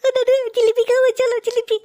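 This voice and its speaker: Casting: little cartoon grandchild, extremely high squeaky voice, wheedling and eager. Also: level tone, fast talker, noisy background. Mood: wheedling, excited. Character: small eager grandchild begging for sweets. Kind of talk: Bengali comedy cartoon dubbing.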